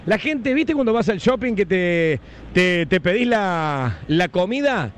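A man's voice: sits high, big pitch swings, a little slow, a little hiss or hum. A man with an Argentine accent reporting live from outdoors at a stadium, chatty and rambling, full of fillers and restarts. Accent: Argentine Rioplatense. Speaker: field reporter. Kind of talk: excited live walkabout reporting.

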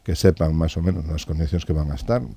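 A man's voice: extremely low pitch, fairly even intonation, quick pace, mellow, husky, dry recording. A man with a Galician accent explaining things conversationally as an interview guest.

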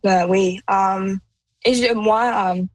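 A woman's voice: medium pitch, fairly even intonation, a crowd in the background. A young woman student whose first language is Punjabi, answering interview questions with many hesitations. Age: young adult. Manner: halting, many fillers and restarts. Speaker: student guest.

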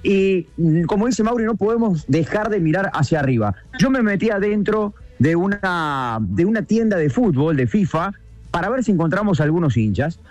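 High-voiced Rioplatense roving reporter. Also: male voice, expressive, normal speed, a little mellow, soft background music. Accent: Rioplatense Spanish. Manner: amazed, conversational. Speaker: field reporter. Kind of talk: live report and street interviews. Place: inside a shop.

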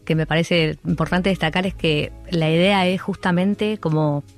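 An Argentine woman with a Rioplatense accent talks in a low voice, pausing often, restarting her sentences and leaning on fillers. A faint music bed sits under her. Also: fairly even intonation. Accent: Rioplatense Spanish. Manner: hesitant, many fillers and restarts. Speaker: art curator guest. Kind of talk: casual interview conversation.

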